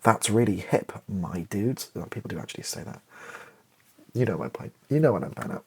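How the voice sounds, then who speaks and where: Low, breathy British man, ASMR musing. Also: expressive, a little fast. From Britain. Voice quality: soft, breathy, partly whispered. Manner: hesitant, thinking aloud in fragments. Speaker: ASMR video creator thinking aloud while writing. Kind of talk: ASMR, casual improvised monologue.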